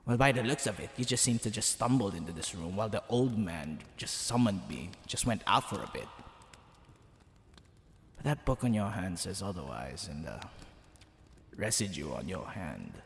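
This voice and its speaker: Male actor as demon king, low drawl, swooping pitch, smug, flirtatious, lazily menacing, theatrical ASMR roleplay, cavernous reverb. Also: expressive, creaky. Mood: teasing curiosity. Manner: theatrical, drawn-out, teasing delivery. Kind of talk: ASMR roleplay monologue addressed to the listener. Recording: long hall reverb, background hiss and ambience.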